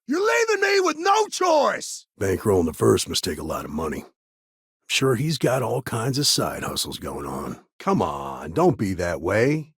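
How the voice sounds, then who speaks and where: Gravelly, world-weary space ranger. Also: male voice, medium pitch, no room sound, studio quality, exaggerated voice. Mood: urgent, forceful, then laconic, guarded. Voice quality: gravelly, husky. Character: world-weary, guarded lawman ranger in a frontier space city. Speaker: video game voice actor. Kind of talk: game dialogue lines.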